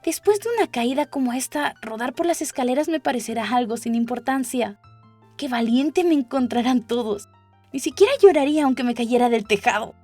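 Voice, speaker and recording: A woman's voice: on the high side, a little fast, a little mellow, faint music behind, pitched above the performer's own voice, close to an everyday voice. A woman voicing a curious, dreamy young girl who chatters and muses to herself in a storybook. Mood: boastful, wondering. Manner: musing aloud, questioning, wordy. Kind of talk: storybook character voice.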